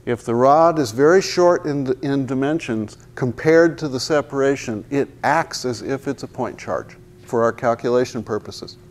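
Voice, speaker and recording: A man's voice: mid-range voice, moderate pace, hardly any pauses. A man in his sixties giving a university physics lecture in a large hall, wearing a lapel mic, joking and asking the class rhetorical questions as he walks to the chalkboard. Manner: walks and points at the chalkboard while talking.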